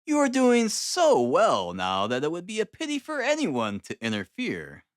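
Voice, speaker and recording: Guy doing a clever gentleman sleuth, posh-polite wording, dry irony, really swoopy voice, storybook reading. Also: high-pitched, huge pitch swings, normal speed, no room sound, voice pushed up. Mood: sarcastic. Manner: polite, ironic, formal. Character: brilliant, sardonic gentleman detective, coolly courteous.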